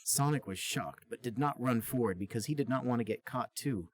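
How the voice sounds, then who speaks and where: A man's voice: medium pitch, a little fast. A man co-hosting a podcast, reading a story aloud in a mock-serious, dramatic comic style. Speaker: podcast co-host and reader.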